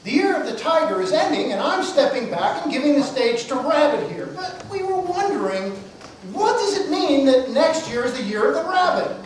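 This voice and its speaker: A woman's voice: high-pitched, sing-song, moderate pace. A woman playing a proud, competitive, easily puzzled tiger in an amateur puppet show, speaking in a theatrical manner. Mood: solemn, wondering. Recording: echoey noisy room, far from mic.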